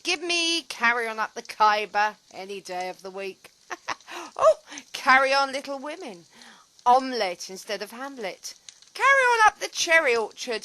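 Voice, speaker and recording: A woman's voice: a little high, bright, forceful tone, a little hiss or hum, heavily acted, low-quality recording. A woman playing a theatrical, lonely middle-aged actress in an audio play, with a dramatic, rambling way of talking. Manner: dramatic, rambling, self-amused monologue.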